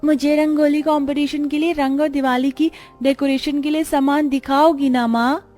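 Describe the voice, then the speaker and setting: Woman voicing a high-pitched, earnest little schoolgirl. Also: steady tone, moderate pace, darkish tone, natural voice. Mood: gentle, understanding. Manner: polite, childlike, earnest. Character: sweet, earnest poor schoolgirl, patient and easily hurt. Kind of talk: Hindi animated moral story dialogue. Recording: music behind the voice.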